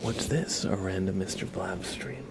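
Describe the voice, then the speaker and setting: A man speaking in a soft, gravelly whisper, chatting casually and gently as an ASMR live streamer greeting viewers. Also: low-pitched, a little slow, very noisy. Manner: gentle, rambling chat with viewers and pet. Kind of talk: ASMR livestream casual chat.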